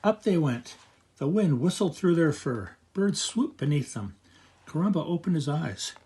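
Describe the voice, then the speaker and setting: An older man reading a picture book aloud to children as a grandfatherly storyteller, with expressive narration. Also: on the high side, a little slow.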